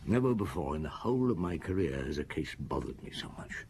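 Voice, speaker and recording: An actor playing a shrewd middle-aged police chief in a radio drama, interrogating a suspect in an unhurried, cutting way with a husky voice. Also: male voice, very deep voice, big pitch swings, darkish tone, a little hiss or hum, full character voice. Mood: probing.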